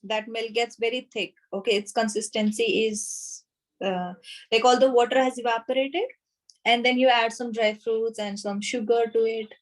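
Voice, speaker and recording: A woman in her thirties with an Indian accent, teaching an informal online class in a halting way, checking in as she goes. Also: fairly high pitch, fairly even intonation, rough recording. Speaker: anatomy teacher at a yoga school. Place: small room with a whiteboard, indoors. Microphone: device camera a short distance away, video call.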